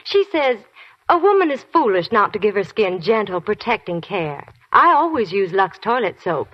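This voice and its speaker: American woman, 1940s radio spokeswoman, lively intonation, chatty scripted ad, muffled recording. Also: medium pitch, moderate pace.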